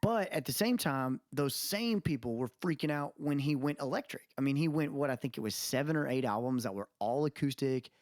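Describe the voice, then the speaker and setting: Guy cohosting a music podcast, rambly opinionated chat, middle pitch, very little room sound. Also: animated, fairly quick, few pauses, darkish tone, dry recording, clean studio sound. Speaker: podcast co-host.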